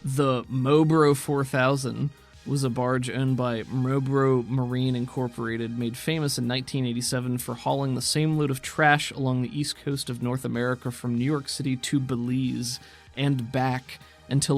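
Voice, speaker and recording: A man's voice: medium pitch, flat delivery, moderate pace, faint music behind. A man talking casually as a podcast co-host and game master.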